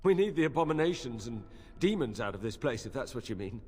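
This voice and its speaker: An actor playing a weary, worried soldier quartermaster in a fantasy game, blunt and downbeat, in a reverberant hall. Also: male voice, medium pitch, big pitch swings, fast talker, a little mellow, slightly rough. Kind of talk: fantasy game dialogue acting. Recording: long reverb of a stone hall.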